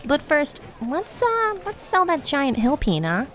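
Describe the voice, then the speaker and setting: A woman's voice: high voice, huge pitch swings, measured pace, a bit bright, a little hiss or hum, pitched above the performer's own voice, full character voice. A woman voicing a greedy, cheeky dwarf adventurer who talks in sly, hesitant bursts.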